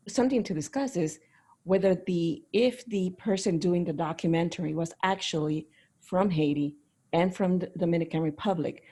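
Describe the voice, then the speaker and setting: Mellow, husky-voiced woman on a panel. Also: fairly low pitch. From Caribbean, raised in Miami. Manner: earnest, reflective, personal. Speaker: panel participant. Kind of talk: discussion remarks.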